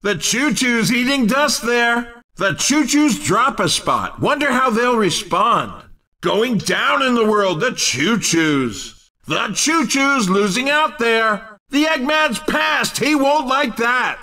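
Excitable racing game commentator, very high voice, cheeky, theatrical, punchy exclamations, set a little back from the mic. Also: male voice, takes it slowly, distant mic, heavily acted. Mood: excited, teasing. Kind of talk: excited sports-style race commentary.

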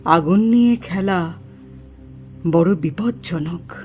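A dignified woman who reads horoscopes and summons spirits. She speaks slowly in formal, solemn phrases, with music under her voice, as in a horror radio play. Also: fairly low pitch, darkish tone. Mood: grave, cautioning. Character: grave, dignified female astrologer and spirit medium. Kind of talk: Bengali horror audio drama acting.

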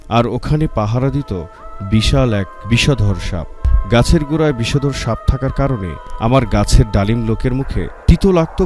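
A man's voice: medium pitch, a little mellow, close to an everyday voice. A man voicing a grateful talking tree in a children's storybook tale, pleading and cautioning. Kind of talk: storybook character voice.

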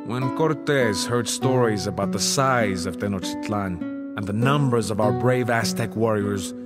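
An actor playing a proud, grave Aztec jaguar warrior, narrating a game cutscene in a solemn, measured storytelling manner. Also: male voice, lowish voice, over loud music, lightly acted.

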